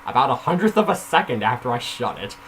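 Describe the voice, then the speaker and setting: A man's voice: medium pitch, very animated, fast, fairly bright tone, a little hiss or hum. A man reading a story aloud casually, with informal self-critical asides off the script. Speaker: reader of their own story.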